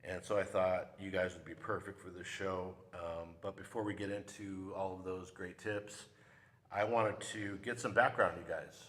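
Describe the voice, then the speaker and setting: A man hosting a local interview show, talking in a relaxed, conversational way. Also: deep voice, normal speed, long reverb tail. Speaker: show host. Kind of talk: casual interview. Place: local TV studio.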